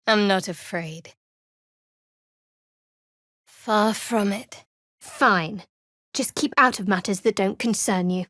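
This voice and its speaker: A woman voicing a guarded, wry and secretive young female cleric in a fantasy video game, with a measured, dry delivery. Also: mid-range voice, dry recording. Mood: dry, sardonic. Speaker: video game character voice actor. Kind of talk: video game voice lines, dramatic dialogue and spell calls.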